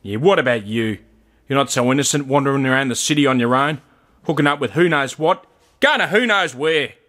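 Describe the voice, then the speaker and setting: An Australian man acting in a radio play as a rough, volatile inner-city man just out of custody, talking in terse slang. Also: medium pitch, animated, brisk, fairly bright tone, lightly acted. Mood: defensive, angry. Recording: music under the voice.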